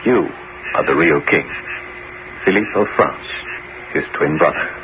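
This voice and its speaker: An actor playing an aging bishop and former musketeer in a 1970s radio drama, grave and resolute, with theatrical, deliberate, emphatic phrasing. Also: male voice, very deep voice. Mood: solemn, fervent. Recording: muffled, noisy 1970s radio broadcast recording.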